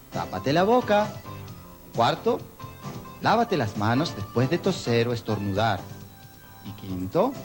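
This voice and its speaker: A man with an Ecuadorian accent hosting a children's TV show, friendly and coaxing as he talks to kids. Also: mid-range voice. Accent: Ecuadorian Spanish. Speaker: children's TV host. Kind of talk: children's TV presenting.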